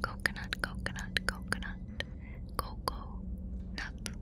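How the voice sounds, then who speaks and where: Woman acting a whispering, curious alien girl. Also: natural voice. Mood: curious, playful. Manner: slow, savouring and repeating words. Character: curious, playful alien girl learning English words. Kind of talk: whispered ASMR roleplay.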